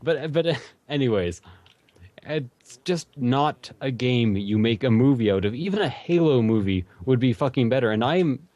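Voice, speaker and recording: A man's voice: medium pitch, animated, normal speed, darkish tone, no room sound. A man from Canada with a slight Canadian accent, hosting a casual gaming podcast in a blunt, profane way. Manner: blunt, swears a lot, laughs between lines.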